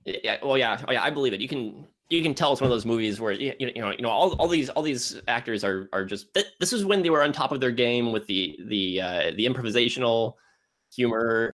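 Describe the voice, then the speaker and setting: A man's voice: medium pitch, expressive, a little fast, a bit bright. Rambling, enthusiastic man chatting about films. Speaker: podcast co-host reviewing films. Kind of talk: casual film discussion.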